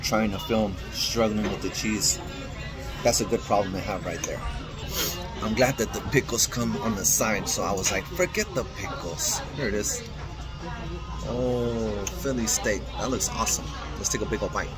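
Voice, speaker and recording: A man's voice: lowish voice, normal speed, rough recording. Upbeat man vlogging in a diner. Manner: upbeat, chatty, narrating as he films. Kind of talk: casual food vlog walkthrough. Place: small diner indoors. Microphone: handheld camera at arm's length. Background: music playing.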